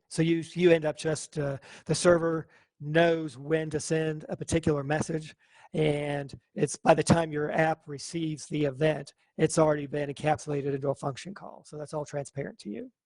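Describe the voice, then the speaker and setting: Male instructor, slightly high voice, dry close sound, easygoing explainer. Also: moderate pace, dry recording. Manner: relaxed, thinking aloud with fillers. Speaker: coding class instructor. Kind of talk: live online class.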